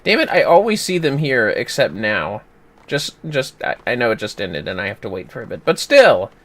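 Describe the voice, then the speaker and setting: A man chatting casually while gaming on a livestream, playful, exclamatory and quick to swear. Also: medium pitch, sing-song, fairly bright tone. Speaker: gamer on a livestream. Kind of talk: casual gameplay chat.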